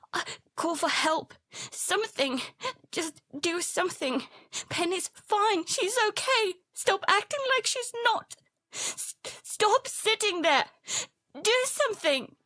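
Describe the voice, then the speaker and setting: A woman playing a frightened, guilt-ridden youngster who narrates and speaks in an audio drama, halting and stammering. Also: extremely high pitch, lively intonation, fairly bright tone, dry recording, exaggerated voice. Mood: desperate, pleading. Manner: halting, stammering, breaking into whispers. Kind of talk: first-person audio drama narration with dialogue.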